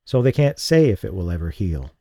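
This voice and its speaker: A man voicing a young woman left blinded and burned, speaking softly with a strained, halting manner in an audiobook. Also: low-pitched, very animated, no echo, studio quality. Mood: shaken. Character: injured young woman, blinded, fragile and shaken.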